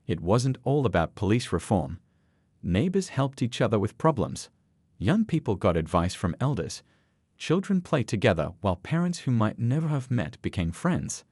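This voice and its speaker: A man reading a scripted, sentimental story in a measured, earnest storytelling manner. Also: fairly low pitch, big pitch swings, darkish tone, slightly rough, no echo, studio quality. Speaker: story narrator.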